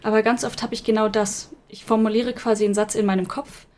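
A woman's voice: mid-range voice, flat delivery, fast, a little mellow. A woman co-hosting a casual podcast, thinking aloud with many hesitations and restarts. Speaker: podcast co-host.

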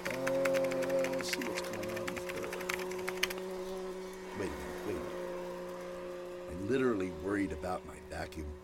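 An actor playing a weary, jumpy man who mutters and swears to himself in an old-time radio style horror drama. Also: deep voice. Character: weary, jumpy, grumbling man living alone with gadgets. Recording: loud background noise.

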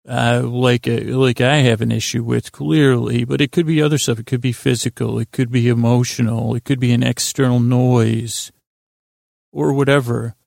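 A man with a gravelly, creaky voice hosts a sleep podcast in a close, dry recording. He speaks in lulling tones at a middling pitch. His monologue wanders and halts, gently poking at himself as it goes. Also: fairly even intonation, no echo. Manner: meandering, halting, gently self-deprecating. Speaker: podcast host.

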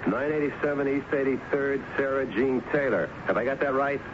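A man acts out a male homicide lieutenant in an old radio play. He asks his questions casually but persistently, pausing as he goes. The recording is dull and hissy. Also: medium pitch, lightly acted. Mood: businesslike. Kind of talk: 1970s radio drama acting. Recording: muffled, noisy 1970s radio broadcast.

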